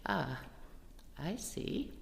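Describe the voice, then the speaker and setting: A woman playing a genteel, sly old lady book collector in a live radio play, creaky, measured and coyly knowing. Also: fairly low pitch, big pitch swings, exaggerated voice. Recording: noisy live recording in reverberant hall.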